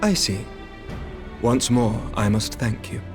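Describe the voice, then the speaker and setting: An actor playing a shrewd, composed middle-aged knight commander who speaks formally and measuredly in archaic diction. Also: male voice, mid-range voice, animated, slow pace, music in the background. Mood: calm, diplomatic. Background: orchestral game score.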